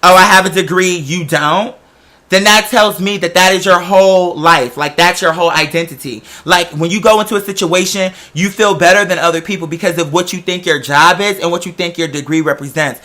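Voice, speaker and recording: High-voiced, sassy American man ranting. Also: fairly even intonation, brisk, pushed and bright. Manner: sassy, confrontational, emphatic, heavy slang and swearing. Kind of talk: animated storytelling rant, casual chat.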